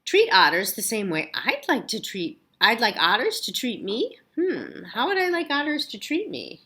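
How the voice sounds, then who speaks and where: A woman's voice: a little high, wildly swooping pitch, normal speed, pushed and bright, pitched above the performer's own voice, full character voice. A woman voicing a worried, fussy male storybook rabbit who muses aloud in questions. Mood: pondering, hopeful. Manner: questioning, musing storybook delivery.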